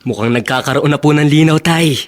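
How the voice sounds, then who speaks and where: Man playing a proud adult son, evasive, respectful, slightly high voice, Filipino radio drama. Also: a little fast, lightly acted. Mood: hesitant, sheepish.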